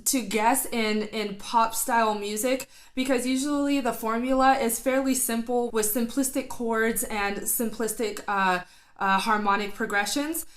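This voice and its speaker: Slightly high-voiced woman analyzing singing. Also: steady tone, a little slow. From not Filipino; studied singing. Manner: analytical, enthusiastic, thinks aloud with fillers. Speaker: vocal coach reacting to a song. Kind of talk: casual reaction commentary.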